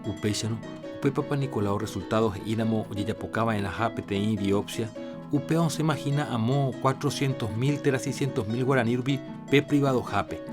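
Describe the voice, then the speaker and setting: A man's voice: mid-range voice, brisk. A man with a Paraguayan accent reading a scripted health announcement as a radio presenter. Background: guitar music.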